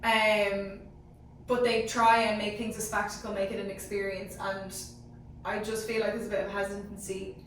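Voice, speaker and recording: A woman's voice: medium pitch, little pitch movement, moderate pace, a bit bright. Echoey, distant Irish woman in discussion. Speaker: sports show panellist. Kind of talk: casual group discussion. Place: echoey indoor room. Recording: very echoey room, distant mic, faint noise.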